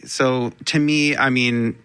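A man's voice: mid-range voice, fairly even intonation, takes it slowly, clean tone. A man, a theatre's artistic director, speaking in an effusive, gushing way in an interview. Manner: gushing, effusive, warm praise. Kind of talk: enthusiastic interview talk.

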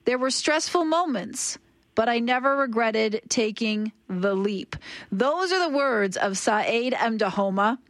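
A radio host with a fairly high woman's voice asks her guest animated, leading questions. Her sound is dry and close, with no room echo. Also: unhurried. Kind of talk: radio interview.

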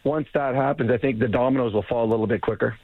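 A man with a slightly rough voice calling in as a sports analyst, rambling with restarts. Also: medium pitch, quick pace, dry recording. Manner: rambling, restarts, laughs easily. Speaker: radio analyst guest. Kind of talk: phone-in sports chat. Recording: tinny phone line.

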